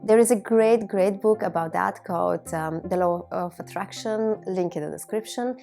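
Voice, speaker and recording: Creaky-voiced woman in her thirties from Bulgaria. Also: mid-range voice, moderate pace, dark tone, faint music behind. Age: 30s. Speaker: video blogger. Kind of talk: reflective personal monologue to viewers.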